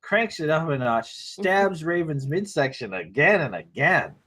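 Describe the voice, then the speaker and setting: A man's voice: fairly high pitch, very animated, unhurried, a bit bright, breathy edge. A man narrating a screenplay table read, reading action lines aloud informally with wry asides.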